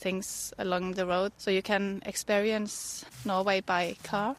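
Woman, tourism spokeswoman, flat monotone, halting, faint and distant. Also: medium pitch.

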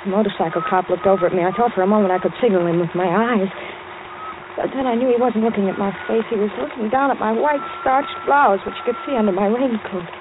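A woman's voice: medium pitch, fast talker, close to an everyday voice. An actress playing a frightened captive woman, earnest and dramatic, in an old-time radio thriller. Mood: anxious recounting. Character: frightened captive woman, pleading and narrating her ordeal. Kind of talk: old-time radio thriller acting, first-person narration. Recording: noisy, tinny old radio recording.

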